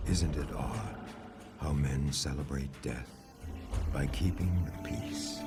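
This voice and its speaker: An actor playing a grave storyteller, giving scripted dramatic narration of ancient war for a video game. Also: male voice, sits low, heavily acted.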